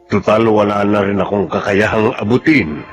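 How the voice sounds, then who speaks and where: A man's voice: lowish voice, moderate pace, loud music behind the voice, natural voice. An actor playing a reluctant, self-sacrificing Filipino father in his fifties in a radio drama, plain-spoken and hesitant. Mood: wistful. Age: 50s. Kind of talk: Tagalog radio drama acting.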